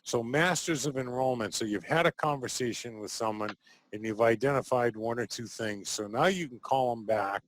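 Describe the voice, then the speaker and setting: A man hosting a casual interview, chatty and quick to laugh, often ending thoughts with a questioning "right?". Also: mid-range voice, no room sound, poor audio. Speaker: interview host.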